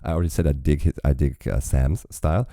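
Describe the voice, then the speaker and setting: Reaction host, forties, man: rumbling bass voice, dark tone, dry close sound, chatty and meandering. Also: steady tone, fast, no echo. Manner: musing, rambling aloud with frequent restarts. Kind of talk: casual reaction commentary.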